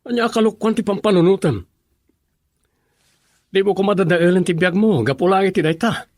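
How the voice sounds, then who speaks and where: A man's voice: sits high, brisk, no room sound, clean studio sound. A man acting a gossipy but levelheaded buddy who gives advice in an Ilocano radio drama. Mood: advising, exasperated.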